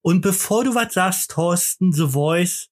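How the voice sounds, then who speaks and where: A man with a high-pitched voice chatting loosely on a podcast, rambling from topic to topic and cracking jokes. He sounds a little tipsy, drinking as he talks. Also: expressive, measured pace, darkish tone. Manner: rambling, joking, drinking during the show. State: a bit tipsy. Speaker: podcast co-host. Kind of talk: boozy casual podcast banter.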